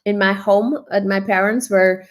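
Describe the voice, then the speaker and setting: A woman raised in the Netherlands talks as a guest over a video call. Her voice sits at a middle pitch, and her manner is earnest and reflective. She laughs easily as she shares her story. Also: fairly even intonation, measured pace. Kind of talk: conversational interview, reflective storytelling.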